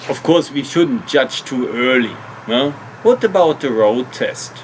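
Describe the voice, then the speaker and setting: A man's voice: medium pitch, animated, a little slow, very noisy. A man narrating an explanatory hobby vlog in hesitant, slightly awkward non-native English phrasing. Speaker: video host, hobby motorcycle customizer.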